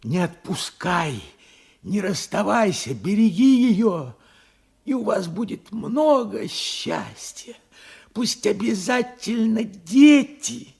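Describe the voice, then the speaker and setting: A man's voice: sits high, gravelly, slightly rough, heavily acted. An actor playing an old grandfather and war veteran in a Soviet radio play, tender, fervent and dramatic. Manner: dramatic, fervent, halting delivery. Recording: vintage radio play with music underneath.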